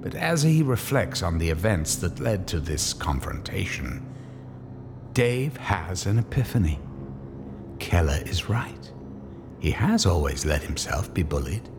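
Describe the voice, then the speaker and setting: Male narrator, low register, dramatic scripted delivery, long reverb over clearly audible music. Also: sing-song, a little slow.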